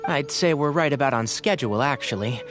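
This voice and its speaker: A man's voice: mid-range voice, expressive, fairly quick, over music, natural voice. A man voicing a kindly, considerate young forest ranger in a video game, speaking courteously and articulately. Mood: friendly.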